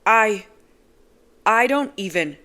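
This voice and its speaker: A woman voicing a hot-tempered young man who shouts in exasperation. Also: mid-range voice, lively intonation, fairly quick, pitched above the performer's own voice, close to an everyday voice. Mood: furious.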